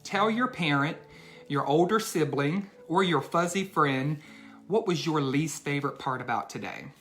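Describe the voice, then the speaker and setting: A male educator speaks to young children as in a bedtime read-aloud. His phrasing is deliberate and emphatic, aimed straight at the young listeners. Faint music sits behind his voice. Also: fairly high pitch, expressive, a bit bright.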